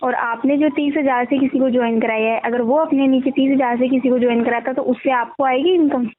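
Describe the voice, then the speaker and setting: A woman explaining a sales pitch on a phone call, often asking for agreement. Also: steady tone, fairly quick, a little hiss or hum. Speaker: network marketing recruiter. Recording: tinny phone call recording.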